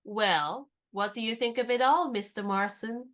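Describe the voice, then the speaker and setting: An actress in a radio play playing a spirited young woman disguised as a lady's maid, talking in a brisk, teasing, knowing way. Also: a little high, fairly bright tone.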